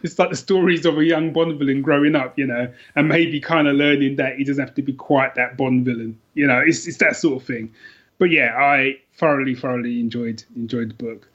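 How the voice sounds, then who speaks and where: A man's voice: medium pitch, fairly even intonation, brisk, a bit bright, dull audio. A man talking casually and colloquially about books as a podcast guest. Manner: informal and colloquial. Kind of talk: casual book chat.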